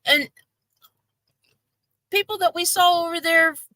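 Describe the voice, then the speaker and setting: High bright-voiced woman, chatty and teasing on a livestream. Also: a little slow, dry recording, studio quality. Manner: animated, mocks others in exaggerated high voices. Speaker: livestream co-host. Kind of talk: casual livestream chat.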